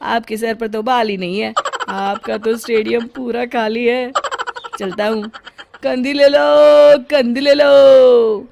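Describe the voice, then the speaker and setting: A woman voicing a pushy male street comb seller with loud hawking calls in a cartoon story. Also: a little high, fairly even intonation, slow pace, gravelly, a little hiss or hum, voice pushed up.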